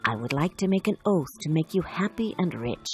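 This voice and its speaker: A woman's voice: low-pitched, expressive, brisk, faint music behind. A woman voicing a cunning, polite male cat servant in a children's storybook, speaking in an earnest, persuasive, courtly manner. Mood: courteous.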